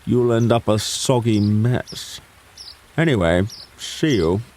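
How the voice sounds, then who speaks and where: Man playing a sly, slow-drawling storybook fox. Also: fairly low pitch, expressive, a little mellow, slight background noise. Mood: feigned indifference. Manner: drawling, sardonic, unhurried. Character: sly, dry, patient male fox feigning indifference. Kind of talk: children's audio story character acting.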